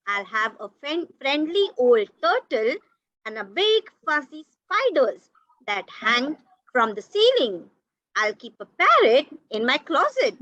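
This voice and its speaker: Woman reading as a bright-voiced, high, eager young boy. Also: no room sound, muffled recording, heavily acted. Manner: slow, careful, halting reading. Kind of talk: reader's theatre read-aloud.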